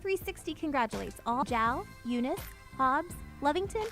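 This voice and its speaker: A woman's voice: high voice, expressive, moderate pace, fairly bright tone. A woman reading a scripted community message as a commercial announcer. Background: faint music.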